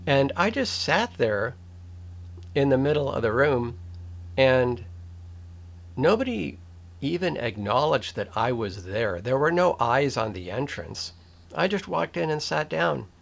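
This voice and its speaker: Older man, host, slow and deliberate, middle pitch, reflective commentary, wry, pauses and restarts, small room, webcam. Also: sing-song, vocal fry. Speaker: video essay host.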